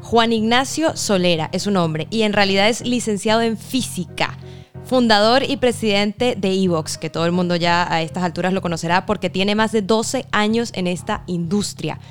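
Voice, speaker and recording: A woman's voice: medium pitch, moderate pace, soft background music. A woman presenting a podcast in a conversational way as its host.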